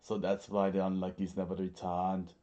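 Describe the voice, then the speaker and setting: A man's voice: sits low, monotone, moderate pace, dark tone, natural voice. A man voicing a stern, irritated crime boss with a Scottish accent, grunting as he interrogates, in a story read aloud. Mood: grim.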